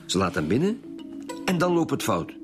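An actor playing a suspicious, stubborn police detective in a radio drama, with a Flemish accent and a blunt, argumentative manner. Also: male voice, medium pitch, wildly swooping pitch, normal speed. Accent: Flemish Belgian. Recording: music behind the voice.

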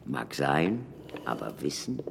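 A man playing a cautious, scholarly doctor in a German radio drama, speaking in a deliberate, measured way. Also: extremely low pitch, very animated, creaky, a little hiss or hum, exaggerated voice.

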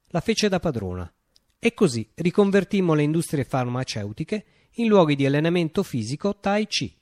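A man's voice: on the high side, no room sound, studio quality. Man acting a declamatory, visionary revolutionary leader, swooping intonation. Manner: declamatory, with deliberate dramatic pauses. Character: visionary utopian revolutionary leader, poetic and grandiose. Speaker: actor performing a radio monologue. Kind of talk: theatrical radio drama monologue.

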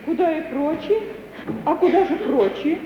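A woman's voice: high voice, lively intonation, normal speed, recorded from a distance. An actress playing a modest young orphan girl, earnest, plaintive and proud, in 1950s Russian radio drama style. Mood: anxious. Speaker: actor. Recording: muffled, echoing old radio recording, slight noise.

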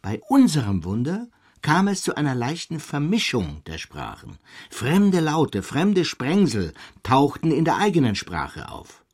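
An actor playing an earnest, irritable middle-aged scholar in a German radio play, speaking in elevated, emphatic, bookish phrases. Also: male voice, on the high side, wildly swooping pitch, measured pace, a little mellow, husky, full character voice. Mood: fervent. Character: earnest, irritable male scholar enraptured by a miracle.

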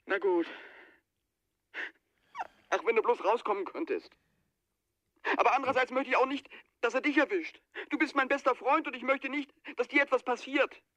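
A man's voice: very high-pitched, normal speed, long pauses, sharp tone. An actor in a radio play playing an anxious, pleading man who is haunted by fear, speaking urgently and hesitantly. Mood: subdued, grateful. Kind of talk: 1980s German radio play acting.

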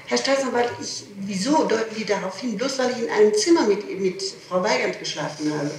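A middle-aged woman answering questions in an interrogation, speaking haltingly, repeating herself and protesting. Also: mid-range voice. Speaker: suspect under interrogation. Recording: echoey, distant, noisy archival 1960s tape.

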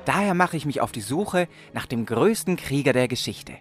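A man's voice: medium pitch, lively intonation, normal speed, faint music behind, lightly acted. A man playing an earnest but cheeky sixteen-year-old aspiring warrior in a comedic fantasy audio play. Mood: earnest, solemn. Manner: earnest storytelling, slangy when challenged.

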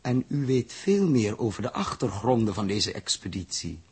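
An actor in a 1960s radio drama playing a calm, reasoning spaceship captain with a husky voice. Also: male voice, on the low side, normal speed, full character voice. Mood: thoughtful.

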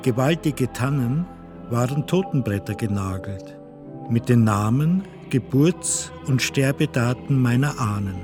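A man played by an actor with a mid-pitched voice narrates in a radio play, with clear music behind him. He declaims his account of ancestral memorials phrase by phrase. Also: lively intonation, unhurried, lightly acted. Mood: declamatory.

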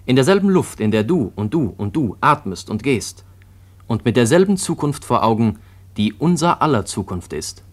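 A man's voice: mid-range voice, big pitch swings, moderate pace. A man playing an earnest second narrator, speaking with rhetorical emphasis in a scripted radio play.

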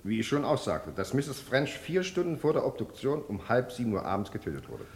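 Brisk medical witness in echoey courtroom. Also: male voice, mid-range voice, big pitch swings, a little mellow. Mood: defensive, firm. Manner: formal, clinical, exact. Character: experienced medical examiner testifying, precise, matter-of-fact man. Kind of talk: German radio crime drama acting. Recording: echoey courtroom sound, faint noise.

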